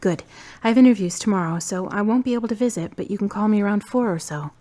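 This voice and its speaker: A woman's voice: medium pitch, fast, warm tone, close to an everyday voice. A woman playing a caring, earnest young woman who speaks in a direct way.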